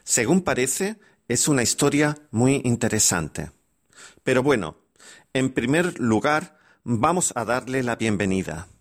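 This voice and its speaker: Slow-paced male radio host. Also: mid-range voice, sing-song. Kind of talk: scripted radio introduction.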